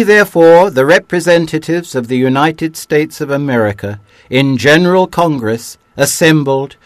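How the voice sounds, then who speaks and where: A man giving a measured, declamatory reading of a historic document, dropping low at the ends of phrases. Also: dry recording. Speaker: reader.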